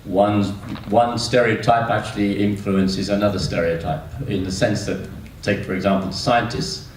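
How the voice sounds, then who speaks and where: A man's voice: fairly low pitch, fairly even intonation, moderate pace. A man giving a spontaneous, reflective comment from the audience at a meeting. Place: large echoey room at a meeting. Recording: echoey hall, distant mic, faint noise.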